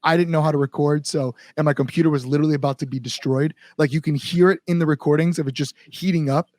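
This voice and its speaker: Animated American man, higher-pitched voice, close sound. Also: fast, no echo. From Chicago area, United States. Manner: animated storytelling, quotes people, frequent "like", informal swearing. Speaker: band singer, interview guest. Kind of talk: casual podcast conversation.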